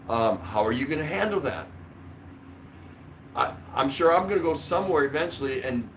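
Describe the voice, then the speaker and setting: A man's voice: mid-range voice, fairly bright tone, slight background noise, low-quality recording. An older man hosting a casual livestream, rambling along in a jokey, mildly profane way. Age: older, around 60. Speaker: livestream host. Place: small curtained room indoors.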